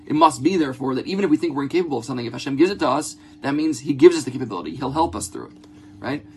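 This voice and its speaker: Fast-talking man giving an informal religious lesson. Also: medium pitch, expressive, husky. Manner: earnest, conversational, repeats phrases and checks in with "right?". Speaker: religious teacher.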